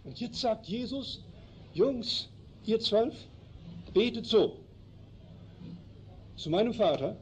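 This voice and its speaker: A man from Canada whose first language is German, preaching a slow, emphatic sermon in a chapel that explains Bible verses. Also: high-pitched, animated, a little mellow. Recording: dull lo-fi recording, faint noise.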